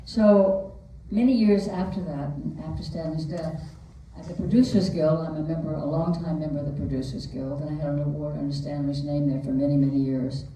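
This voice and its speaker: Low mellow lady telling a story at a theater q&a, chatty, mic far off in a big echoey hall. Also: steady tone, fairly quick, a little hiss or hum. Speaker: film producer on a panel. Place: large movie theater.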